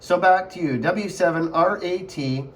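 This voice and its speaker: Man, slightly raised pitch, amateur radio operator, casual on-air chat, repetitive phrasing. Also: measured pace, a bit bright. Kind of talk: casual ham radio contact.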